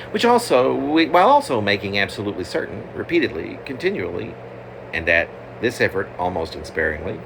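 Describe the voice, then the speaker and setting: A man reading his own poem as a wry, halting, digressive literary monologue. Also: lowish voice. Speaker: poet reading own work. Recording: clearly noisy, steady background hum.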